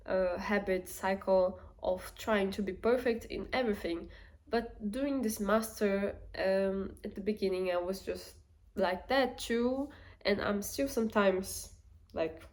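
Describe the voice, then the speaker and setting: Slow-talking woman with a Portuguese accent. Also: medium pitch, a little mellow. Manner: unhurried, thinks aloud with many fillers and restarts. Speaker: vlogger. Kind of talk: casual reflective talk to camera.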